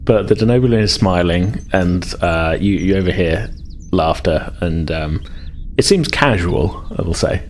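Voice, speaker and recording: A man's voice: low voice, expressive, unhurried, slight background noise. A man acting as game master, improvising tabletop game narration in a hesitant, conversational way.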